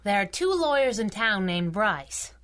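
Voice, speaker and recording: Casting: tough, sardonic female pulp sidekick, sharp bright tone, very animated, 1930s slang. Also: medium pitch, a little slow. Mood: wry. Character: brash, sarcastic masked heroine sidekick, jealous and tough-talking. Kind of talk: pulp radio drama acting in a 1930s manner.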